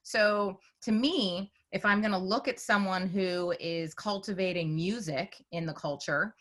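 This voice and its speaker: A woman's voice: medium pitch, measured pace, fairly bright tone. A woman in her forties from Northern California, a documentary filmmaker telling her story in a chatty, meandering way on a video call. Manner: chatty, storytelling, many "you know"s. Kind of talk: conversational panel answer.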